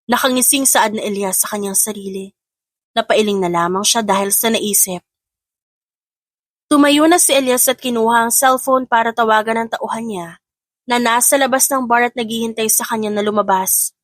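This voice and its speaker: Female audiobook narrator, scripted dramatic storytelling, slightly high pitch, close dry sound. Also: normal speed, dry recording.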